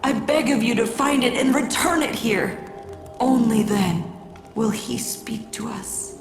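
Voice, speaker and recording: A woman playing a fervent, reverent cult priestess in a video game, theatrical, with a creaky, breathy voice. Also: a little high, measured pace, echoey room, over music. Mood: grave, beseeching. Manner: reverent, theatrical, breathy whispers rising to incantatory cries. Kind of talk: dramatic video game acting.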